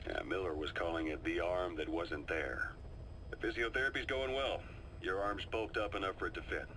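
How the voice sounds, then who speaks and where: A man playing a seasoned, calm and knowing military adviser in a video game, briefing his commander in a measured, confiding storytelling delivery. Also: deep voice, background noise, close to an everyday voice. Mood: encouraging, practical. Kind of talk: video game voice acting, dramatic briefing monologue. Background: music.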